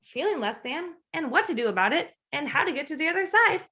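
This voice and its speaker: A woman with a bright, fairly high voice hosts a casual faith podcast. Her delivery swings widely in pitch as she tells stories in loose, stop-and-start phrases, acting out reactions with exclamations. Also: fast talker. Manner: animated, exclamatory, acts out quoted reactions. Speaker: podcast host.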